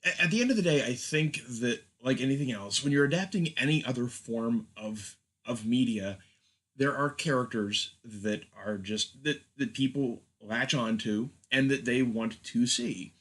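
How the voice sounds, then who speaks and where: A man's voice: mid-range voice, moderate pace, fairly bright tone. A man co-hosting a casual podcast discussion, explaining his opinions in a conversational way. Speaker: podcast co-host.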